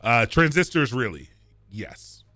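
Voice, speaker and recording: Man, Baltimore accent, bootcamp coding instructor; bright, forceful delivery, dry close sound, fast and casual with lots of restarts. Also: mid-range voice, very animated, no room sound. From Baltimore area, Maryland. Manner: casual, talks quickly, repeats and restarts phrases; chats with viewers between points. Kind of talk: live-streamed lecture.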